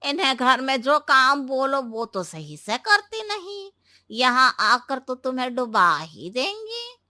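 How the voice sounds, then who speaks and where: A woman's voice: high-pitched, sing-song, takes it slowly, sharp tone, dry recording, studio quality, voice pushed down, heavily acted. Woman putting on a sneering village gossip man. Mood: mocking. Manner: mocking, sneering.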